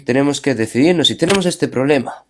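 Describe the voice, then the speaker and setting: A male preacher delivers a sermon at a middle pitch. He mixes scripture readings with retold stories. He keeps checking in with his listeners through short asides and asks them rhetorical questions. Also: quick pace, noisy background.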